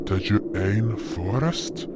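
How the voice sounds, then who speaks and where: A man's voice: fairly low pitch, very slow. A man playing a worried, earnest guardian creature in a fantasy audio drama. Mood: baffled. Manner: concerned, questioning, exclaiming. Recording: noisy hobby recording.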